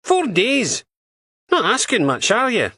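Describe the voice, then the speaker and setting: A man's voice: high-pitched, huge pitch swings, slow, pushed and bright, no echo, clean studio sound, pitched above the performer's own voice, exaggerated voice. A man voicing a blunt, foul-mouthed female police dog handler who talks crudely and scornfully. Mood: blunt, scoffing.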